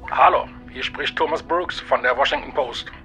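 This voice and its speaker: A man's voice: mid-range voice, moderate pace, bright, forceful tone, soft background music, lightly acted, rough recording. An actor playing an eager male journalist who is polite and reassuring on the phone.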